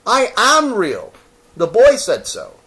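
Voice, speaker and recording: Little velveteen rabbit, played by a man: storybook toy, high-pitched, proud, defensive, plaintively insistent. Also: huge pitch swings, takes it slowly, fairly bright tone, full character voice. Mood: hurt insistence.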